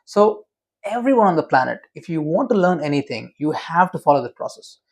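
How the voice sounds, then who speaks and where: A man's voice: fairly high pitch, very animated, fairly quick. A man being interviewed as a memory expert, explaining in an emphatic, teacherly way and often asking rhetorical questions.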